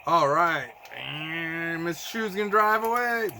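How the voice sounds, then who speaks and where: A man's voice: a little high, expressive, unhurried, very noisy. A man casually hosting a playful show for children, chatting about toys in a goofy, theatrical way with silly exclamations. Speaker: children's show host and teacher. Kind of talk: casual playful chat for kids, showing toys.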